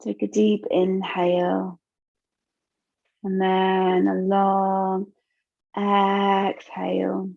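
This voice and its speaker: A woman teaching yoga nidra, guiding a relaxation meditation in a gentle, soothing, unhurried way with long pauses. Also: mid-range voice, monotone, creaky, no echo, muffled recording.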